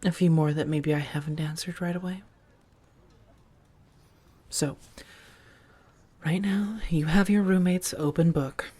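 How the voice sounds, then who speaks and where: A woman playing an affectionate, playful female vampire roommate in a soft-spoken, gently teasing ASMR roleplay. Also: lowish voice, fairly even intonation, unhurried, pauses often. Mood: tender, relaxed. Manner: gentle, chatty, teasing, with soft murmurs and breathy asides.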